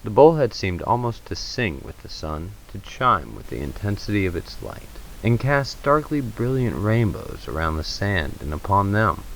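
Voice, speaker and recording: A man's voice: lowish voice, very animated, normal speed, few pauses, a bit bright, slight background noise, low-quality recording. A man narrating a story in a dramatic storybook style.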